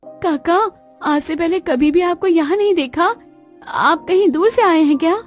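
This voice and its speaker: A woman playing a warm, hospitable young village daughter-in-law in an animated story, polite and expressive. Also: very high voice, a little slow, faint music behind. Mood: concerned. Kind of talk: animated moral story dialogue.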